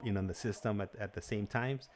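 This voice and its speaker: Male livestream host, fairly low voice, casual and halting, agreeable, with some faint noise behind him. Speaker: host of a livestream call-in show. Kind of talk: casual live chat hosting.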